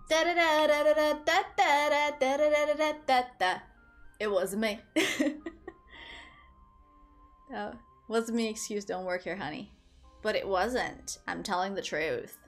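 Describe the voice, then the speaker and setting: A young woman from Portugal chats with her stream viewers into a headset mic in a small room. She talks casually, rambling and giggling, in a slightly high voice. Faint music plays in the background. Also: slow, pauses often. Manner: chatty, giggly, rambling, animated. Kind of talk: casual chat with live chat. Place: small room indoors at a desk.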